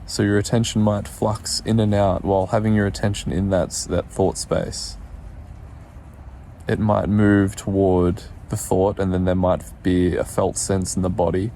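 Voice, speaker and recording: A man leading a guided meditation in a soothing, unhurried way, leaving pauses between short instructions. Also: low-pitched, flat delivery, warm tone, a little hiss or hum.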